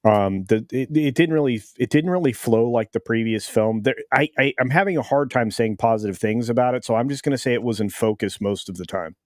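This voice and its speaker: A man casually reviewing a film on a panel, disapproving, halting and repeating his words as he goes. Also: mid-range voice, darkish tone, no echo, clean studio sound.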